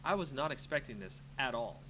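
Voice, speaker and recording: A man's voice: mid-range voice, expressive, fairly quick, low-quality recording. A man giving live gameplay commentary, thinking aloud in a casual, reactive, muttering way as he streams a card game. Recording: clear background noise with hum.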